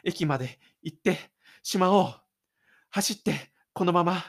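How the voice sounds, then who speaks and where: A man plays a stubborn high school boy in a radio drama, his voice lifted above a grown narrator's. He exclaims his private thoughts between breaths, sounding like a shy loner who has bolted from class. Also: a little slow, slightly rough, full character voice. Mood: determined, breathless. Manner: breathless, exclaiming inner monologue.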